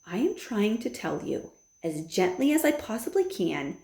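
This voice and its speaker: Storybook read-aloud: steady, proper old grandmother, done by a woman reader. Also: a little high, normal speed, roomy sound, pitched above the performer's own voice. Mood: firm. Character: calm, dignified old grandmother, patient and firm.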